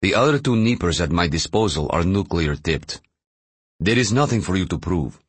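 A man's voice: deep voice, animated, normal speed, slightly rough, no echo. A man voicing a weary, conciliatory Russian president negotiating in an audiobook thriller. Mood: pleading, resigned.

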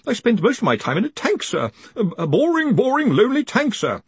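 A man's voice: extremely high pitch, wildly swooping pitch, fairly quick, husky, dry recording, pitched above the performer's own voice, full character voice. A man voicing a timid, panicky young wizard in an audiobook, hesitant, apologetic and stammering to his superior. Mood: nervous protest. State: panting.

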